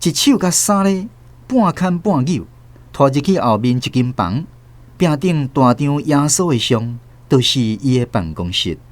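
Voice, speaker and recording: A man's voice: medium pitch, big pitch swings, a little slow. A man with a Taiwanese accent narrating a radio drama as a scripted, dramatic storyteller.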